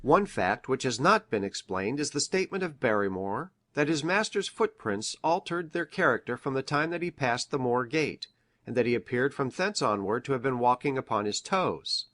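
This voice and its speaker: Country doctor, male reader: earnest, formal, mid-pitched, measured storybook delivery. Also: animated, a bit bright, natural voice. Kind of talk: measured dramatic audiobook reading of formal prose.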